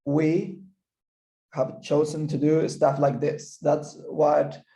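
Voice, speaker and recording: Slow, gravelly Spanish-accented man lecturing. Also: fairly high pitch, little pitch movement, warm tone, echoey room, poor audio. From Chile. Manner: halting, repeats words while searching for English. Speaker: physical therapist presenter. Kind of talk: online lecture.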